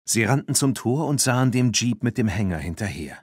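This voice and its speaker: A man narrating a children's audiobook in an expressive, scripted storytelling style. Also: medium pitch, fairly quick, husky, no room sound, studio quality.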